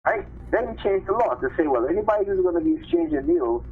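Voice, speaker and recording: A man reading news articles aloud on a live stream, haltingly, repeating phrases and adding informal commentary. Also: sits high, animated. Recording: tinny phone-line sound with clear background noise.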